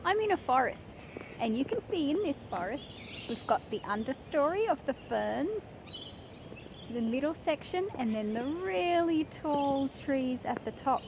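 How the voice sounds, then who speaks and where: A woman hosting a children's read-aloud, speaking in simple, deliberate phrases as if straight to young listeners. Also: sits high, expressive, background noise. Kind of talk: slow picture-book read-aloud with friendly asides to young viewers.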